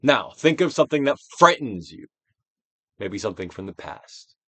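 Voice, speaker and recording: Male stage-actor narrator for a guided self-help reading. Grand, declamatory, very wide melodic range, drawn-out emphasis, recorded dry. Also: on the low side, sing-song, sharp tone, no echo. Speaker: audiobook reader, actor. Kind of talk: theatrical dramatic reading of a self-help book.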